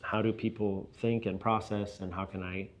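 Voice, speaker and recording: Male, middle-aged, lower register. Interviewed leader, reflective and conversational, halting as he explains point by point. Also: level tone. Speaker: business and church leader being interviewed. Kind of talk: casual reflective interview talk.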